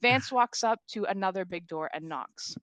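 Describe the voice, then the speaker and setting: A woman's voice: mid-range voice, animated, normal speed, fairly bright tone, no room sound. Dry-recorded woman narrating a script. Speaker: stage-direction narrator. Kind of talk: scripted narration at a comedy table read.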